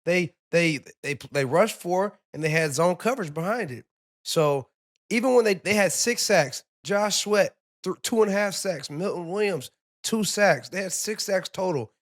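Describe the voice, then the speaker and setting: A man with a raspy, hoarse voice, a former player co-hosting, laid-back and quick to laugh. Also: high voice, animated. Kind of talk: casual sports chat.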